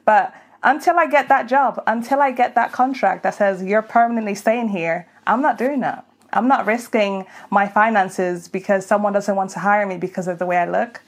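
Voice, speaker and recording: A woman speaking frankly and reflectively in a casual panel discussion, with light laughs. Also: mid-range voice.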